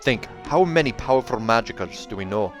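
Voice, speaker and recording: A man voicing a gruff, suspicious dwarf warrior in a storybook, terse and blunt, with a put-on accent. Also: medium pitch, lively intonation, fairly quick, faint music behind, close to an everyday voice. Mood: suspicious, grumbling.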